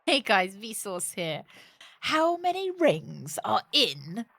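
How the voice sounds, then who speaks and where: A woman's voice: mid-range voice, big pitch swings, slow, creaky, a bit bright. A woman giving casual live commentary as she plays a game, with jokey, reactive asides. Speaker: livestreamer playing a horror adventure game.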